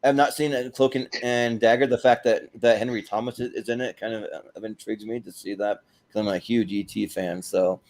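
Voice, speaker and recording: A man with a fairly low voice hosts a casual chat. He rambles along, halting and doubling back on his words as he hands the floor around. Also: steady tone, a little mellow. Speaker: host of a panel show.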